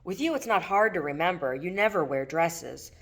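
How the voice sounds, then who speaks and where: A woman's voice: on the low side, expressive, normal speed, a bit bright. A woman reading the lines of a casual, bantering, mildly stubborn male friend in a novel. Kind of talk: acted dialogue in a novel reading.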